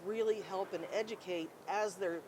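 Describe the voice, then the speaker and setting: A woman's voice: medium pitch, fairly even intonation, normal speed. A woman hosting an interview show, asking rambling questions with fillers at a conference. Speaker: podcast host. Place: busy conference hall. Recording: noise of a conference floor.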